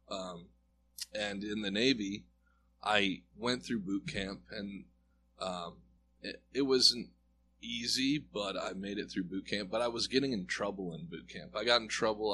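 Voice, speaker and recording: A man's voice: low voice, sharp tone, a little hiss or hum. A man as an interview guest, earnestly telling a personal story in a conversational way and acting out bits of dialogue. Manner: earnest, reflective, acts out quoted dialogue. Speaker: guest sharing a personal testimony.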